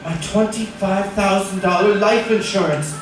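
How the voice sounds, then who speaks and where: An actor playing a middle-aged husband in an old-time radio thriller, halting and urgent, shaken yet greedy and insistent. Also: male voice, sits high, fairly even intonation, background noise. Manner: halting, repeats phrases, urgent. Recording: echoey distant radio-drama recording with music.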